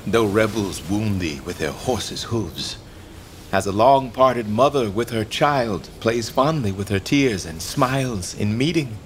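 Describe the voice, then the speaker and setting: A man's voice: lowish voice, measured pace, a little hiss or hum, close to an everyday voice. An actor playing a proud, doomed young English king, speaking in grand, declamatory Shakespearean verse that swings from whispers to ringing cries. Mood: joyful. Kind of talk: Shakespearean stage acting.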